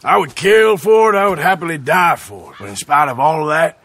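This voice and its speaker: Husky, gruff Old West outlaw. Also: male voice, on the high side, animated, brisk, a bit bright, no echo. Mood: sardonic. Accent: American. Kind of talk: dramatic game cutscene acting.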